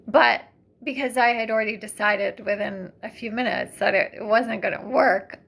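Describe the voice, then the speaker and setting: A woman's voice: mid-range voice, vocal fry. A woman telling a personal story in a casual, chatty, rambling way, acting out bits of dialogue as she goes. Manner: chatty, rambling, animated when recounting dialogue. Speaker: vlogger.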